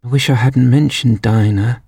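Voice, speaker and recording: Storybook young girl, played by a man: polite, eager, sincere, lifted pitch, dry recording. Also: expressive, normal speed, darkish tone, dry recording. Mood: melancholy. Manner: humble, eager. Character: polite, earnest little girl, eager and easily saddened.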